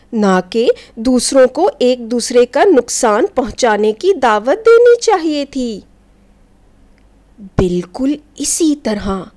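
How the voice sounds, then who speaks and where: A woman storyteller giving a scripted, expressive narration of a children's folk tale in an instructive way. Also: high-pitched. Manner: expressive, didactic, addressing children.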